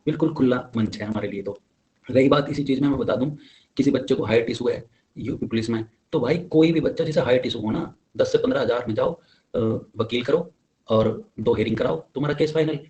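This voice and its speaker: Young man, mellow and insistent. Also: medium pitch, fairly quick. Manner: insistent, exhorting, repetitive. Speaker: exam candidate giving updates to fellow applicants. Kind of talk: informal spoken update, addressing peers.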